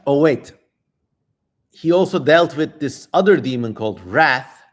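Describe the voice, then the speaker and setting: A man's voice: on the high side, expressive, measured pace. A man hosting a livestream in casual chat, with a wry, informal, digressive way of talking. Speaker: livestream host.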